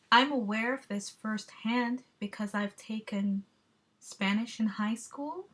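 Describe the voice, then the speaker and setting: A woman giving a measured, explanatory class presentation, with the odd filler. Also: medium pitch. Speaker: student presenter.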